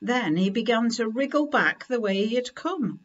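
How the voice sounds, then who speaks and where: A middle-aged woman reading a children's picture book aloud in a deliberate, expressive way at home. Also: on the high side, dull audio. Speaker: story reader. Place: living room at home, indoors.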